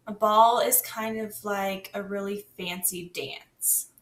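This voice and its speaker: A woman's voice: mid-range voice, fairly even intonation, slow. A woman teacher leading a lesson patiently, guiding with questions. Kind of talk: scripted classroom lesson. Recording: echoey room, distant mic.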